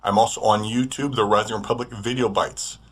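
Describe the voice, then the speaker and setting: A man's voice: fairly low pitch, fairly even intonation, a little fast. A man, a voice actor and podcaster, giving a casual promo.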